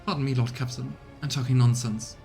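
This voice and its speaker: A man's voice: mid-range voice, fairly even intonation, fairly quick, vocal fry, dark tone, faint music behind, close to an everyday voice. A man voicing an anxious, devoted female officer in a video game, earnest and worried. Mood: flustered relief.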